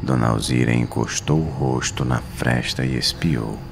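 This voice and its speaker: A Brazilian man narrating a scripted horror story in a dramatic, suspenseful manner with deliberate pauses. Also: rumbling low, noisy background. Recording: narration over clear background music. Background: music.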